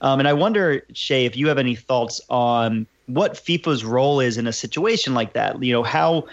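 Low-voiced podcast host, hedging. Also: male voice, moderate pace. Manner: thoughtful, hedging with many "you know"s. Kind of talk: casual discussion. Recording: dull, slightly lo-fi remote audio.

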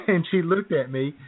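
A man co-hosting a chat show, telling a story in a relaxed way, often chuckling and clearing his throat. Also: sits high. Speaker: podcast co-host. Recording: phone-like lo-fi audio.

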